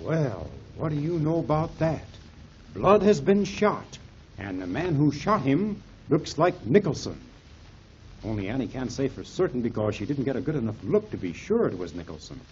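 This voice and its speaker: A man's voice: mid-range voice, sing-song, moderate pace, darkish tone, slight background noise. A man announcing a 1930s children's radio serial, chatty and persuasive as he talks to young listeners. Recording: dull, muffled 1930s radio recording.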